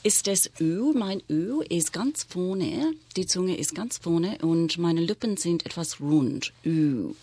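A middle-aged Australian woman from New South Wales, a teacher whose first language is English, explaining pronunciation in German in a casual podcast chat and demonstrating sounds slowly. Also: fairly low pitch, lively intonation, mellow, breathy edge, no room sound. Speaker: co-host, teacher.

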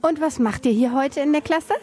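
A woman's voice: high voice, normal speed, mellow. A woman reporter who interviews parents and children on location and asks friendly questions.

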